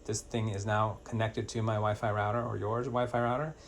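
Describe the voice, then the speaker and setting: A man's voice: lowish voice, little pitch movement, fairly quick, a little hiss or hum. A man presenting an informal step-by-step product tutorial in a plain-spoken, hands-on, instructional way.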